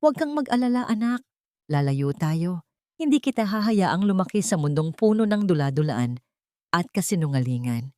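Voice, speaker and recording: A woman's voice: on the low side, very animated, normal speed, warm tone, no room sound. A woman playing a bitter, resolute young mother-to-be in an emotional audio drama. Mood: tearful resolve.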